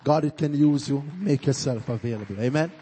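A man's voice: medium pitch, a little fast, slight background noise. A man, a pastor, giving an emphatic testimony to a church congregation. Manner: emphatic, builds to loud peaks. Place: church sanctuary. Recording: long reverb of a church hall.

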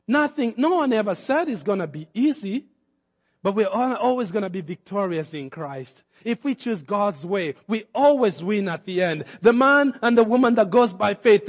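A man from Kenya preaches in a high, ringing voice. His sermon climbs to forceful emphatic peaks, and he acts out the people in his stories. The sound carries a long hall reverb around him. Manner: preaching with rising emphatic peaks and acted-out quotes. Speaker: pastor.

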